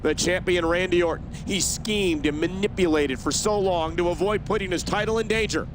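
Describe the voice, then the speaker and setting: A man playing an excitable play-by-play wrestling announcer, giving scripted commentary in a video game. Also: high-pitched, normal speed, noisy background, natural voice. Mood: excited build-up.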